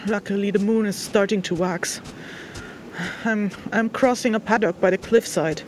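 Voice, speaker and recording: A woman's voice: medium pitch, steady tone, normal speed, darkish tone, natural voice. A woman plays a young spirit hunter in an audio drama field log, over faint ambient noise. The delivery is hesitant and musing. There is a wry, teasing streak. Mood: musing, uncertain. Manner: hesitant, thinking aloud, dry teasing.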